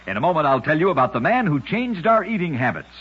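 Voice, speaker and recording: A man narrating scripted short tales as a radio host, with measured, dramatic storytelling phrasing and punchy sign-offs. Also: fairly high pitch, very animated. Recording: muffled, husky old radio recording.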